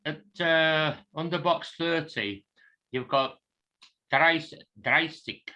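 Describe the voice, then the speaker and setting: A man's voice: on the high side, slow, pushed and bright, lo-fi sound. A man in a language class tentatively putting a question as a learner.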